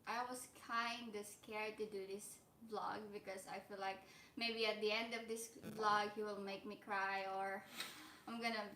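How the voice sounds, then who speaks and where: A softly spoken woman co-hosting a casual live stream from home. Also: medium pitch, level tone, a little slow, reverberant, slight background noise, low-quality recording. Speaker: vlog co-host. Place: indoors at home.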